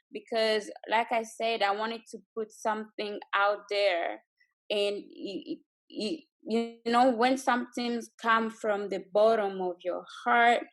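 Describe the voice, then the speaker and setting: Woman from Congo, earnest, heartfelt, casual video chat, medium pitch. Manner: earnest, heartfelt, with frequent fillers. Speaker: guest entrepreneur. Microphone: video call.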